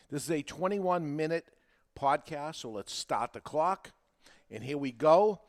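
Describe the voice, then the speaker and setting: A man hosting a podcast, teaching listeners in an emphatic way and often checking that they follow. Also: mid-range voice. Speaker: podcast host and marketing coach. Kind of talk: animated instructional monologue to listeners.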